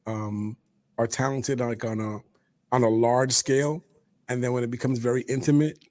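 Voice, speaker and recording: A man's voice: mid-range voice, fairly even intonation, normal speed, a little mellow. A man hosting a casual interview, asking long, rambling questions and laughing along with his guest. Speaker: interview host.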